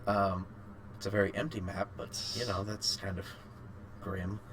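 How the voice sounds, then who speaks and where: Bright-voiced man chatting over background noise. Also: fairly low pitch, little pitch movement, normal speed. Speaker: podcast co-host. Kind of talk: casual chat about games and films.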